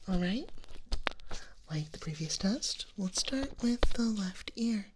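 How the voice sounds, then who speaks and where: A woman playing a gentle dragon nurse in a whispered, breathy ASMR roleplay, speaking in a reassuring clinical manner. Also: medium pitch, expressive, slight background noise. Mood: calm, reassuring. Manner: slow, reassuring, clinical bedside patter. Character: gentle dragon nurse giving a hearing exam.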